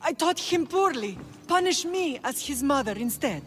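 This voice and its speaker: A woman's voice: sits high, animated, normal speed, fairly bright tone. A woman playing a composed, resolute Spartan mother of a grown son in a video game cutscene, solemn and commanding. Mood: pleading.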